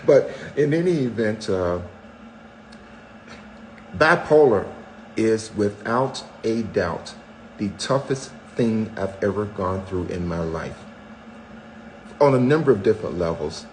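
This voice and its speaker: An older man in his seventies talking openly and at length on a podcast. He tells stories with a wry, self-mocking humor, and his voice sits on the low side. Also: expressive, takes it slowly, long pauses, slight background noise, rough recording. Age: 70s. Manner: candid storyteller, wry self-mocking jokes. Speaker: podcast co-host, husband. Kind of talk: casual chat.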